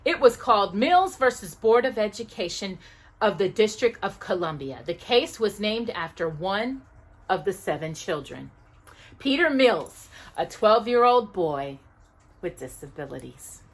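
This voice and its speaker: A woman hosting a children's storytime, reading aloud with emphatic stresses, dramatic pauses and asides to her listener. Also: mid-range voice, very animated, breathy edge.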